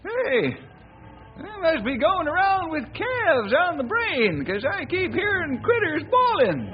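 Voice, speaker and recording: A folksy old cowboy sidekick from the American West in a radio western. His voice is extremely high and swings around with jokey, good-natured country phrasing. The recording is dull and lo-fi. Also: wildly swooping pitch, measured pace, soft background music, full character voice. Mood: puzzled. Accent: rural American West.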